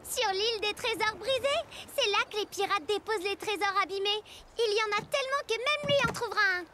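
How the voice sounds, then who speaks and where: A woman's voice: extremely high pitch, a little fast. A woman voicing a plucky, bossy but encouraging little girl pirate captain in a cartoon. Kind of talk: animated cartoon acting. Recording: loud music behind the voice.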